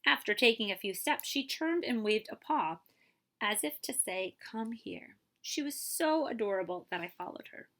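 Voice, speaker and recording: A woman reading a children's story aloud in an expressive, animated way, as a librarian would. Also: medium pitch, moderate pace. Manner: expressive, with commentary asides to listeners.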